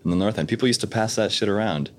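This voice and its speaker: A man guest on a casual backyard podcast, speaking low-key, often trailing off and restarting. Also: racing.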